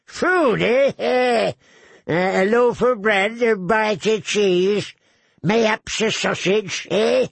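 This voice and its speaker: A man voicing a sly, crude, cackling old male lord with a gravelly voice who tags phrases with "eh?". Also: sits high, slow pace, no room sound, voice pushed up, full character voice. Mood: mocking. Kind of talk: audiobook character voice.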